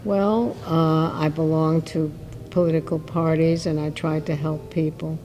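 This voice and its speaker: A woman's voice: low-pitched, level tone, unhurried, dark tone, slight background noise. A woman in her late eighties speaking in a forthright, chatty way in an interview. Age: 80s. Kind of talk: unscripted personal interview.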